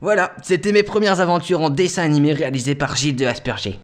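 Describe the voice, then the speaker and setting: A man's voice: on the high side, expressive, fast, lightly acted. A man voicing a cocky, foul-mouthed cartoon goat hunter with a theatrical, swaggering, mock-serious delivery. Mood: showy. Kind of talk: cartoon mock-adventure narration in French. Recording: music behind the voice. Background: music.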